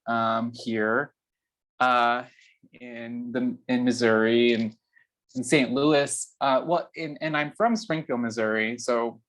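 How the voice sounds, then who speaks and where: A man from Nicaragua introducing himself on a group video call, halting and self-correcting with many ums. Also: medium pitch, poor audio. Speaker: mutual aid member.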